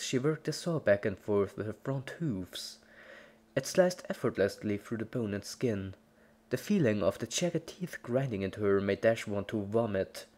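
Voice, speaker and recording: Very low-voiced woman reading dramatically. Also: darkish tone. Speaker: narrator. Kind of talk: dramatic scripted horror story reading.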